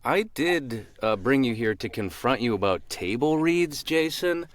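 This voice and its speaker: A man's voice: medium pitch, animated, a little slow, no room sound. A man co-hosting a podcast, bantering casually in a teasing, mock-confrontational way. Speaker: podcast co-host.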